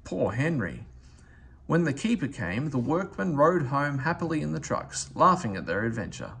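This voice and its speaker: A man reading a children's story aloud as scripted narration, in an expressive storytelling manner. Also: mid-range voice, normal speed, creaky, breathy edge. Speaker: storybook reader.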